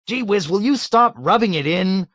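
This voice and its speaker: A man voicing a petulant, self-righteous male colonel who shouts in high, whiny bursts. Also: sing-song, moderate pace, no echo, clean studio sound. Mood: blustering wrath. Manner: shrill, whiny, shouting outbursts.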